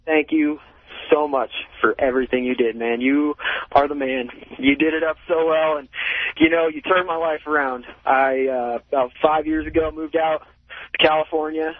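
A man calling in to a radio show, earnest and grateful, speaking quickly. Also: fairly high pitch, steady tone. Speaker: caller. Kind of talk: call-in radio talk. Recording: tinny phone line on radio.

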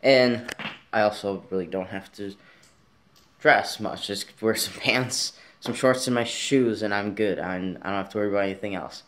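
A man's voice: fairly low pitch, moderate pace, fairly bright tone. A young man vlogging casually from his bedroom, talking in a rambling, easygoing way with plenty of fillers, recorded on a handheld camera at arm's length. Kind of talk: casual vlog talk to camera. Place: bedroom at home, indoors.

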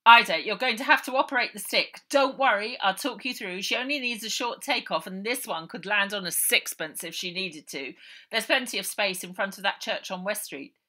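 A woman's voice: mid-range voice, moderate pace, pushed and bright. A woman voicing a confident male pilot in a storybook, commanding and encouraging. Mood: reassuring. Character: brisk, confident, reassuring injured male pilot.

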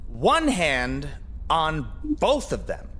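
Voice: mid-range voice, huge pitch swings, drawn-out words, fairly bright tone, exaggerated voice. A performer voicing a stern, skeptical, pompous courtroom lawyer in a visual novel game. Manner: formal, incredulous, pompous.

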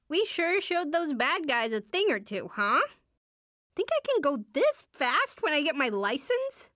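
A woman's voice: high voice, fairly bright tone, no echo, heavily acted. A woman playing a shy, wry teenage superhero girl in a video game, hesitant and understated, with dry earnestness. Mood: playful, confident. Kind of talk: video game character voice lines.

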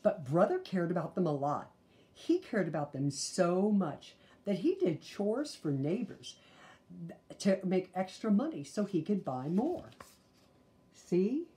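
A woman reads a children's storybook aloud, deliberate and expressive, with chatty asides. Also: on the low side. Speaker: storybook reader. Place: small living room, indoors.

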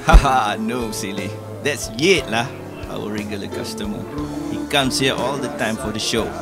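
A man voicing a friendly, easygoing cafe worker who teases and reassures, in an animated comic series, with loud music behind him. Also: on the low side, moderate pace, natural voice. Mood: reassuring. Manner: relaxed, teasing, reassuring. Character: friendly cafe owner, easygoing older-brother type.

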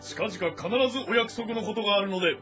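An earnest young-sounding army messenger in a historical audio drama. His voice is strikingly high, declaiming reports with formal duty. Music plays clearly behind him. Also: steady tone, fast, bright, forceful tone. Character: dutiful, earnest male army messenger of ancient China.

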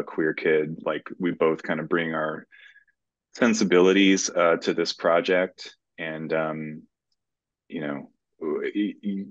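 Male interview guest, very low voice, halting conversational delivery, dry and slightly muffled video-call sound. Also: no room sound. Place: indoor room, video call. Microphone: video call microphone.

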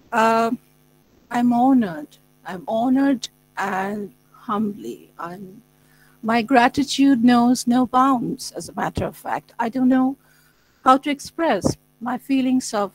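A middle-aged woman, a Bangla speaker, giving slow, effusive, formal thanks on a video call with a mains hum. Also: on the high side, low-quality recording. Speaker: guest speaker.

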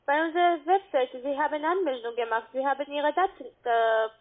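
A woman with a clearly high voice and a foreign accent sells over a tinny phone line. Her phrasing is non-native and halting as she works through her telemarketing pitch. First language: not German. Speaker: cold-calling telemarketer. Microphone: telephone. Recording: narrow-band phone line.

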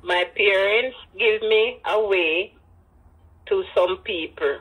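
An elderly woman with a Jamaican accent and husky voice, telling personal stories with emphatic repetition and Creole phrasing. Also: mid-range voice, slow, fairly bright tone, low-quality recording. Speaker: interviewee, grandmother. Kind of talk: personal storytelling in an interview.

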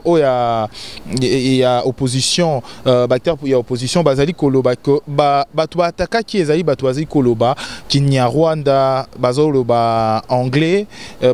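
A young man interviewing a politician outdoors by a river, asking measured questions with hesitant fillers into a handheld mic. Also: medium pitch, a little mellow, smooth.

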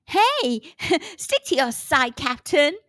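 Woman voicing a high, bold young girl penguin. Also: takes it slowly, full character voice. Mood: playful. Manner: excitable, encouraging. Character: bold, cheerful young female penguin explorer.

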